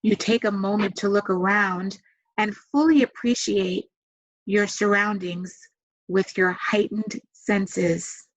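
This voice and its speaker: A woman leading a guided meditation, giving gentle, soothing instructions with long pauses. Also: medium pitch, fairly even intonation. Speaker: meditation guide and massage therapist. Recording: dull, muffled lo-fi sound.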